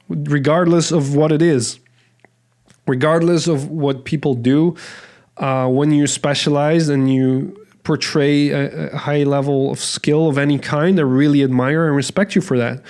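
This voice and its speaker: A man hosts a casual solo podcast and rambles in a self-deprecating way. His voice sits a little high for a man, and he talks slowly. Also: a little mellow. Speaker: podcast host.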